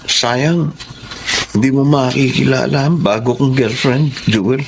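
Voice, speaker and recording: A man's voice: mid-range voice, steady tone, unhurried, gravelly, noisy background. An actor with a Filipino accent playing a boyish, sentimental young man, a loyal friend, in a radio drama. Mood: teasing.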